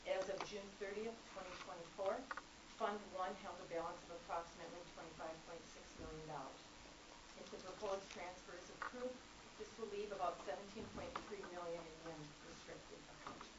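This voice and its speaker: A woman, an accounting instructor, giving a formal prepared public comment at a college board meeting in a measured, businesslike way. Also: fairly low pitch, fairly even intonation. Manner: measured, businesslike, reading figures. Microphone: speaking without a microphone. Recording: echoey distant room recording, dull, noisy.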